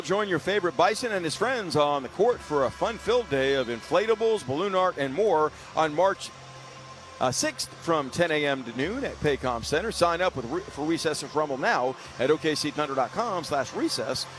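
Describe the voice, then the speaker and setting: A man's voice: a little high, lively intonation, normal speed, fairly bright tone, soft background music. A man calling live basketball play-by-play for radio in vivid, clipped phrases. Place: sports arena broadcast.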